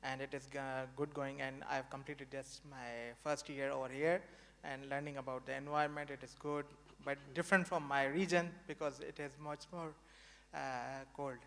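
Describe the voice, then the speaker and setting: Gravelly Pakistani man in a reverberant hall. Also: mid-range voice, little pitch movement, normal speed, a bit bright. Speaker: student panelist. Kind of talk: informal self-introduction on a panel. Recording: reverberant hall over microphone.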